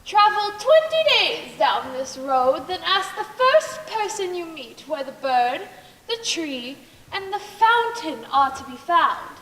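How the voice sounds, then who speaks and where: Young woman as mysterious old stranger, very high-pitched. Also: sing-song, slow, fairly bright tone, exaggerated voice. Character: mysterious old stranger tempting with magical wonders. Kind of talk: stage play acting. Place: school stage play in a large hall. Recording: echoey hall, faint noise.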